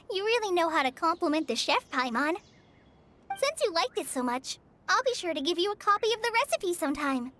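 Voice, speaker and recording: A woman voicing a gentle, polite teenage forest ranger in a video game, girlish and slightly hesitant. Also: very high voice, sing-song, moderate pace, a little hiss or hum, full character voice. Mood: pleased. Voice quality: girlish, light. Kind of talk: video game character acting.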